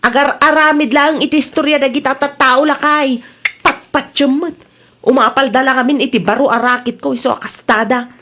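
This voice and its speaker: A woman's voice: high-pitched, steady tone, normal speed, sharp tone. A woman playing a sly, evasive market vendor who whines and protests in a comedy drama. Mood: aggrieved. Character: sly, cheating market vendor wife, evasive when accused.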